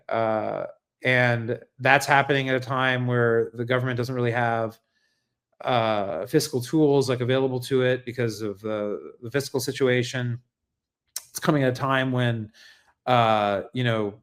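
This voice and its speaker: An American man with a middle-range voice talks off the cuff to a livestream audience. He is earnest and reflective, feeling his way through each thought. His phrasing is halting, with repeated words and frequent tag questions. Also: mid-range voice. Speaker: livestream host and essayist. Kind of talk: unscripted livestream monologue on politics and philosophy.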